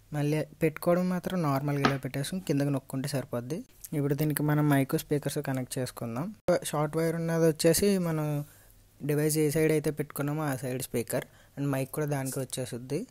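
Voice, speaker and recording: A Telugu man narrates a practical demonstration in an unscripted way. His voice sits a little high for a man, with very little melodic movement. He explains each step plainly, as a vlogger showing viewers how it is done. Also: darkish tone, dry recording. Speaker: vlogger reviewing and installing gear. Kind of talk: unscripted hands-on tutorial narration.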